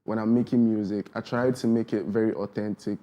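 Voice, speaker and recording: A man's voice: fairly low pitch, fairly even intonation, a little fast, warm tone, highs cut off. A young man with a Ghanaian accent, a rapper chatting casually as a guest in an interview and often asking if you understand. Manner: laid-back, often asks "do you understand?".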